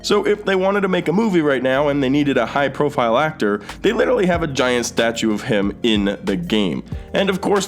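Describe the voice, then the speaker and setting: A man hosting a YouTube news commentary, speaking emphatically and directly to viewers. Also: mid-range voice. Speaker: YouTube host. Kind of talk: scripted-sounding movie and gaming news commentary to camera. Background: background music.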